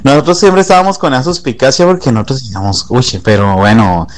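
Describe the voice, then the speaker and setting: A man's voice: medium pitch, quick pace. A man hosting a casual interview, chatty and teasing with his guest. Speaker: podcast host.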